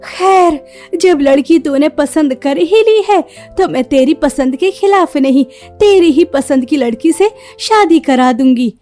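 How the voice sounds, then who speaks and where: Woman playing a high, mellow-voiced village mother. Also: lively intonation, unhurried, faint music behind. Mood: fond. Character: gentle, long-suffering ailing village mother of grown sons. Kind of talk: Hindi cartoon story voice acting.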